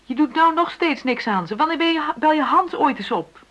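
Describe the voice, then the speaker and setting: Frustrated wife, very expressive voice. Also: female voice, high-pitched, a little fast, lo-fi sound. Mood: indignant, bitter. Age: middle-aged. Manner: argumentative, insistent, reasoning. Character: frustrated middle-aged wife demanding equality in her marriage. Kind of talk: naturalistic Dutch radio play dialogue.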